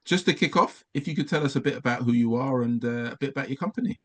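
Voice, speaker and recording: A man hosting an interview, asking brisk, encouraging questions. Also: medium pitch. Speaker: interview host. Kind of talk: conversational interview.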